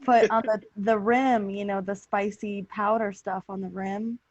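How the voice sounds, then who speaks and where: A woman's voice: mid-range voice, steady tone, unhurried, dry recording. Casual, slangy woman on dull audio. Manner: informal, swearing, slangy. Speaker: podcast cast member. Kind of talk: casual group chat.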